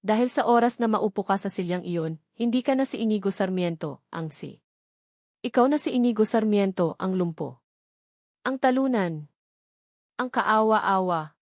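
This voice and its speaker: A Filipino woman voicing a stern, scheming elderly grandmother in a story, sharp and admonishing. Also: medium pitch, lively intonation, moderate pace, long pauses, no echo, studio quality, natural voice. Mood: stern warning.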